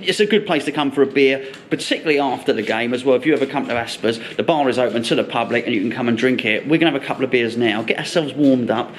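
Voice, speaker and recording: A man's voice: mid-range voice, quick pace, few pauses. A man hosting a casual match day vlog, rambling along and repeating his phrases. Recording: noisy handheld camera recording, busy ambience. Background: clear background noise of venue and match.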